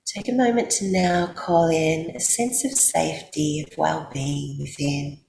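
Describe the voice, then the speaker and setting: A woman with an Australian accent guides a sleep meditation in a soothing, unhurried way, leaving long pauses. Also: low voice, fairly even intonation, gravelly, darkish tone. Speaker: yoga nidra guide. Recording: roomy echo, faint background noise.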